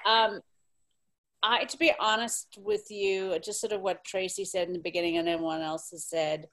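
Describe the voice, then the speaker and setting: A woman's voice: mid-range voice, level tone, normal speed, a bit bright. A woman from Zimbabwe speaking on a panel, candid and impassioned, blunt in her opinions. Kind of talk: panel discussion.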